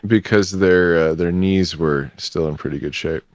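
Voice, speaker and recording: Low-voiced male podcast panelist, informal chat, close dry sound. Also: normal speed, no room sound. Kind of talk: casual chat.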